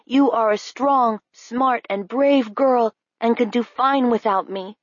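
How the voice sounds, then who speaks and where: A woman's voice: high voice, a little slow, no echo, pitched above the performer's own voice. A woman voicing a big, loyal male servant who talks in a dry, resigned way. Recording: slightly dull, dry recording.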